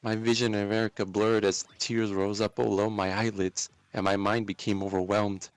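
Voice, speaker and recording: A man with a fairly low voice reads a dramatic first-person story. His narration is deliberate and intense, carrying plenty of emotion. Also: flat delivery, smooth, no echo, low-quality recording.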